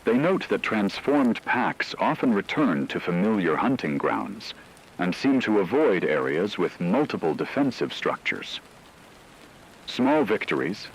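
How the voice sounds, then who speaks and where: A man playing a grave radio newsman, delivering a scripted emergency report in a measured announcer's manner. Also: deep voice, slight background noise, heavily acted, rough recording. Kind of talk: scripted fictional radio news narration.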